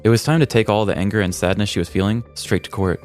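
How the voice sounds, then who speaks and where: Male podcast host, very low voice, scripted comedic crime narration over a music bed. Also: steady tone, fast, darkish tone.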